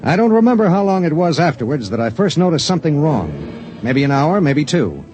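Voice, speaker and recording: A steady spaceship pilot narrating. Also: male voice, a little high, brisk. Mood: grave. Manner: grave first-person narration. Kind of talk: 1950s radio drama narration and acting. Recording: vintage radio broadcast with music beneath.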